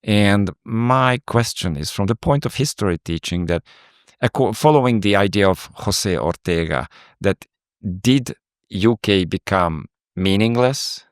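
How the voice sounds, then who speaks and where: Low-voiced Hungarian man, halting. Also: lively intonation, clean tone, no room sound, studio quality. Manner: halting, with many fillers. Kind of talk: panel discussion.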